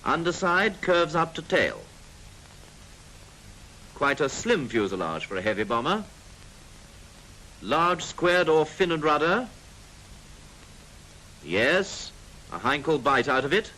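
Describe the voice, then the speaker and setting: Animated 1940s British male film narrator. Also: fairly high pitch, slow, pauses often. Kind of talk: 1940s military instructional film narration, scripted. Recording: noisy 1940s film soundtrack.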